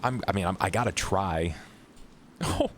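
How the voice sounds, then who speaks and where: A man talking casually as a player in a tabletop roleplay podcast, halting and repeating words. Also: low voice, a little hiss or hum. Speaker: player in an actual-play podcast.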